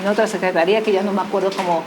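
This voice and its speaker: A woman's voice: mid-range voice, steady tone, rapid-fire, noisy background. A woman from Mexico City with a local Mexican accent, a guest in a casual interview, telling her story in a chatty way. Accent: Mexican Spanish, Mexico City. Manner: chatty, storytelling, laughs easily.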